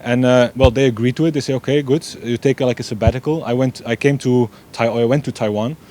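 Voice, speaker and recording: A man's voice: medium pitch, steady tone, quick pace, warm tone. A young man from Belgium, an eager race director chatting as an interview guest. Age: young adult. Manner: eager, repeats "yeah" often, loose non-native grammar. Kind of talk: casual interview chat.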